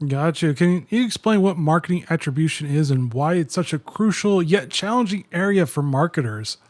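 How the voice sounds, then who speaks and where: A man's voice: a little high, lively intonation, moderate pace, darkish tone, dry recording, studio quality. A man hosting a podcast, introducing a guest and asking friendly, conversational questions. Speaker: podcast host.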